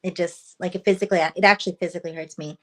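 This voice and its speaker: Chatty woman guest, quick to laugh. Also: fairly low pitch, fairly even intonation, fairly bright tone. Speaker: paranormal investigator guest. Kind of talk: casual interview chat.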